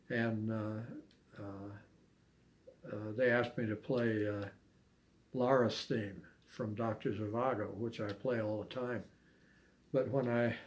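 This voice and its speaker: An older man chatting casually as an interviewee, recalling things in a halting, hesitant way with long pauses. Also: medium pitch, darkish tone. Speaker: amateur harmonica player being interviewed. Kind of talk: casual reminiscing chat.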